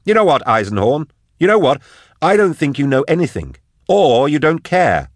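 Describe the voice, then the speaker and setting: Exasperated, high-strung, sarcastic scholar, pitched way up. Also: male voice, big pitch swings, moderate pace, no echo. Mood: furious. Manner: yelling, sarcastic, challenging. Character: outspoken, exasperated, sarcastic male tech scholar, hotly indignant.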